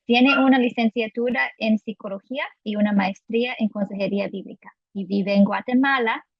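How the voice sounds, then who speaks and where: A woman's voice: fairly high pitch, fairly even intonation, moderate pace, vocal fry, no room sound, dull audio. A woman co-hosting a podcast, chatting as she introduces and interviews a guest, with many fillers and restarts. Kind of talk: casual interview chat.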